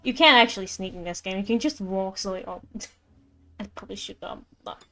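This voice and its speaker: A woman's voice: medium pitch, lively intonation, moderate pace, a bit bright. A woman streamer giving casual playthrough commentary and reading game text aloud. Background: game music.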